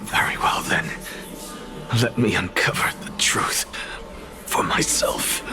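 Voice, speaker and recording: An actor playing a defiant, curious scholar who muses sardonically to himself in a dramatic video game style. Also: male voice, slow, whispered, close to an everyday voice. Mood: breathless wonder. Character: defiant, curious scholar man journeying through land of the dead. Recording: game dialogue over prominent music.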